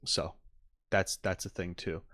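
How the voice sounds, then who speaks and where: Low-voiced man doing enthusiastic reaction commentary. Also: level tone, measured pace, a bit bright, dry recording, clean studio sound. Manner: enthusiastic, analytical, rambling. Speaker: streamer host. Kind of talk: casual reaction commentary to live chat.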